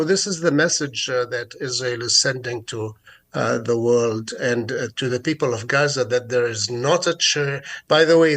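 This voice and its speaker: A man's voice: mid-range voice, vocal fry, a bit bright. Hesitant man from Jerusalem, radio guest. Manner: hesitant, many fillers and restarts. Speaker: guest activist. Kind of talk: radio interview.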